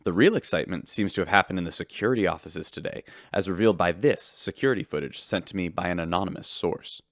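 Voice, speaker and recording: A man's voice: low voice, fast talker, no echo, studio quality. A man playing a radio reporter who narrates shipboard scandals in a dramatic announcer style for a sci-fi audio drama. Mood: announcing, dramatic.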